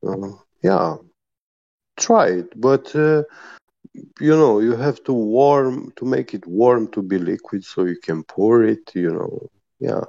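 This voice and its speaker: Man, patient explainer, mellow dry delivery, muffled lo-fi sound. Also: mid-range voice, lively intonation, vocal fry, dry recording. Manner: slow, patient explaining with frequent hesitations. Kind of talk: casual explanatory chat.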